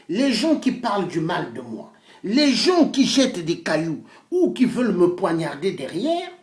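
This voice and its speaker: A man delivers an impassioned political monologue, declamatory and boastful, repeating phrases for emphasis. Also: high voice. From Congo-Brazzaville. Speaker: self-styled pastor and political activist. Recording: echoey room sound.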